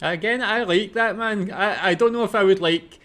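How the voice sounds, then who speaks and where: A man's voice: high-pitched, moderate pace, a bit bright, faint music behind. A man with a Scottish accent giving casual, musing off-the-cuff commentary as host of a reaction video. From Scotland.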